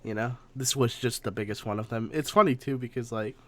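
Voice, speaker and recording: A man's voice: medium pitch, brisk, no echo. A man co-hosting a podcast, telling stories casually with swearing and insistent repetition. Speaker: podcast co-host. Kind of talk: casual storytelling and banter. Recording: faint background noise.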